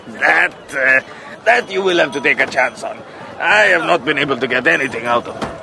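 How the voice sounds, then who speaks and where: An actor playing a shifty, wheedling male slave dealer with halting sales patter in an audio drama. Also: a little high, expressive, vocal fry. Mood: wheedling, oily. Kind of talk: radio drama acting. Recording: busy outdoor market crowd. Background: crowd.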